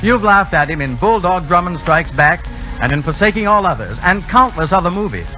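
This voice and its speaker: A man's voice: high-pitched, lively intonation, a little fast, sharp tone, dull audio, faint music behind. A man hosting a 1930s radio theater broadcast in a scripted announcer's delivery.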